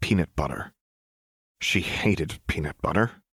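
A man with a low-pitched voice narrates fiction in an audiobook style. The reading is scripted, and the sound is dry with no room to it. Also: measured pace, fairly bright tone, slightly rough, no room sound.

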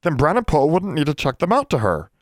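A man's voice: mid-range voice, very animated, a little fast, no echo, studio quality, pitched above the performer's own voice, natural voice. A man voicing a timid, servile library elf in an audiobook, speaking eagerly in broken servant grammar. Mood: earnest, pleading. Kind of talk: audiobook character voice.

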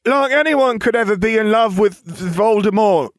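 A jumpy teenage boy, voiced by a male reader, a little higher than the reader's own voice, grumbling and blurting things out. He sounds nervous and easily rattled. The recording is dry and close. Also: animated, moderate pace, no echo. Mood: grumbly. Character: teenage boy, grumpy, jumpy, protective brother.

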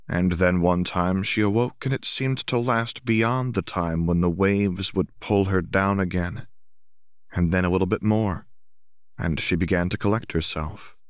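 Deep-voiced man narrating an audiobook. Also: smooth, no room sound, studio quality. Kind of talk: scripted literary narration.

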